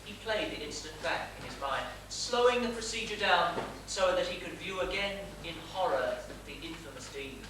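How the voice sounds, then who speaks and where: A man playing a mock-solemn storyteller, delivering florid, deliberate comic narration from a theatre stage. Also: sits high, expressive. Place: small theatre stage, far from mic. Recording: distant, echoey, noisy theatre stage recording.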